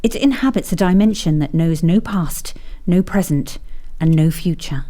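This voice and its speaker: A poised, otherworldly Englishwoman played by an actress in an audio drama, speaking low and softly mellow. Her delivery is measured, formal and grave. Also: natural voice. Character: poised, otherworldly female investigator of time.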